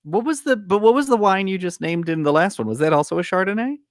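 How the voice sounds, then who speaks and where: A young man co-hosting a theatre podcast, chatting in an expressive, playful way on a video call. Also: high-pitched, quick pace, dry recording, studio quality. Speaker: co-host of a musical theatre podcast. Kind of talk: casual, joking chat on a livestreamed quiz show. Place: indoor room, video call.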